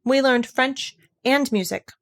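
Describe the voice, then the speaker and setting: A woman's voice: high-pitched, slow, pitched above the performer's own voice. A woman voicing a curious, polite little girl in a storybook, prim and questioning, easily put out. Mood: hesitant, prim. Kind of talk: storybook character voice.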